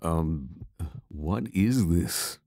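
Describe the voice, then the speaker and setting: An anxious young male adventurer in a fantasy audio drama, acted by a man. He speaks low and slowly, hesitant and full of doubt. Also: expressive, vocal fry, warm tone, no echo, studio quality, heavily acted. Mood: worried. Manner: hesitant, worried.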